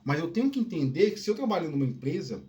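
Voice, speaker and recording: Chatty man with softened Northeastern Brazilian accent. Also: fairly high pitch, big pitch swings, fast, a little hiss or hum. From Northeast Brazil (Piauí family). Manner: rambling, many restarts and "né?" tags. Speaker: podcast host. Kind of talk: casual solo monologue.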